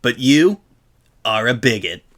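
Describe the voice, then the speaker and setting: A man's voice: medium pitch, big pitch swings, unhurried, fairly bright tone. A man voicing a brash female pegasus pony who rambles on with feigned cluelessness. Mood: smugly pleased.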